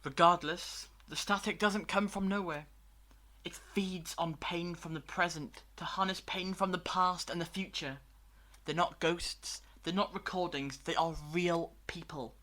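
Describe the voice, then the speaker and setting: An actor playing a grave, knowing time traveller in an audio drama, speaking in a measured, portentous way. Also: medium pitch, fairly even intonation, bright, forceful tone. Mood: grave, explaining.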